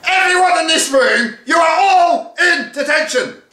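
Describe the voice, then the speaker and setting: A man's voice: sits high, sing-song, normal speed, fairly bright tone, voice pushed up. A man voicing a bossy, furious older woman deputy head teacher in a storybook reading, shrieking and bellowing her orders. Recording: roomy echo.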